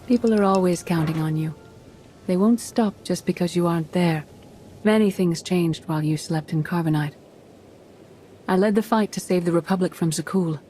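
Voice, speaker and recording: Game role: actress as weary female Jedi mentor, low mellow voice, grave measured delivery, cryptic air. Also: slight background noise, natural voice. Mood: solemn. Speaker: video game character voice actor. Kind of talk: scripted dramatic game dialogue.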